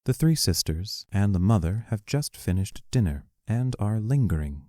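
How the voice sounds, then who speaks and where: A woman's voice: a bass voice, expressive, normal speed, warm tone, dry recording, clean studio sound. A woman reading the stage directions of an audio play as narrator.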